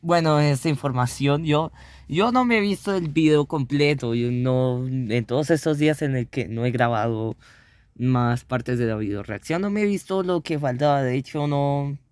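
A man's voice: medium pitch, normal speed, a little mellow, clean tone, no echo. A man casually commenting as a reaction video host, laid-back in manner.

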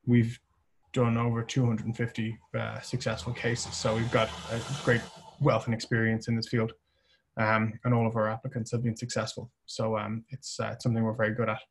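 A man's voice: medium pitch, completely flat delivery, breathy edge, poor audio. Man presenting over a video call. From Ireland. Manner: measured, with many fillers and restarts. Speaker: company chief presenting at a webinar. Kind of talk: webinar presentation.

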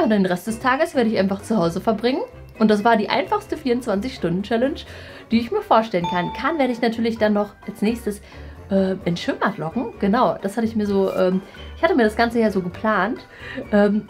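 A woman's voice: on the high side, lively intonation, a little fast, darkish tone, soft background music. A young woman chatting casually to camera as a vlog host indoors. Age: young adult. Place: indoors, small craft studio and office rooms. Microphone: handheld vlog camera at arm's length.

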